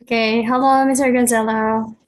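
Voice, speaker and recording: A young Indonesian woman, a student, asking interview questions in English in a halting, hesitant way. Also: on the high side, little pitch movement. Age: young adult.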